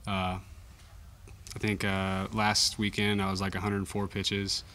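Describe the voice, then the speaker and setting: Young man, athlete at press conference, very monotone, modest, lowish voice. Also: slow. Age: young adult. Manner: modest, understated. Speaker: college baseball pitcher. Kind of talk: post-game press conference answers. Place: indoor press conference room. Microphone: table microphone.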